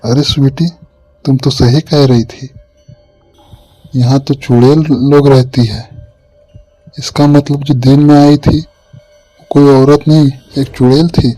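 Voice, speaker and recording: A man's voice: mid-range voice, flat delivery, slow, pauses often, dark tone, no echo, faint music behind, lightly acted, poor audio. A man voicing a tired, grumpy, dismissive village husband in a horror cartoon. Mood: alarmed.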